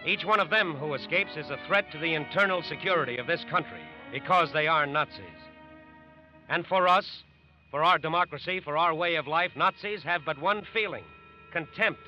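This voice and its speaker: A man with an American accent narrates like an old-time radio crime show. His fairly high voice is declamatory and serious. The vintage broadcast sound is dull and narrow. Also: moderate pace, faint music behind. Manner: grave, emphatic, declamatory. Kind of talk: 1940s radio docudrama narration.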